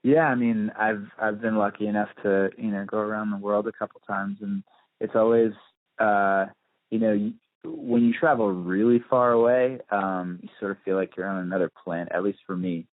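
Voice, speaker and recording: A man's voice: fairly low pitch, steady tone, normal speed, dry recording. A man giving a casual phone interview as a musician, speaking thoughtfully and hesitantly, often circling back to rephrase. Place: on a phone call. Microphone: telephone. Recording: muffled, dry phone-line audio.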